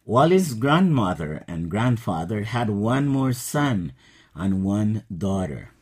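A man, teaching an English lesson in a slow, patient scripted style. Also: fairly low pitch, very animated, slightly rough. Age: 60s.

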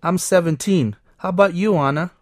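A man's voice: high-pitched, normal speed, dry recording, close to an everyday voice. Teen schoolboy, read by a man, cheerful textbook dialogue, close and dry. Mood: friendly. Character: friendly teen schoolboy, seventeen.

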